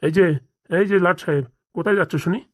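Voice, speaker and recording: A woman's voice: fairly low pitch, fast talker, no echo, studio quality, close to an everyday voice. A woman voicing an exasperated, placating husband and father in a comedy cartoon. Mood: stern. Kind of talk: Bengali comedy cartoon dialogue.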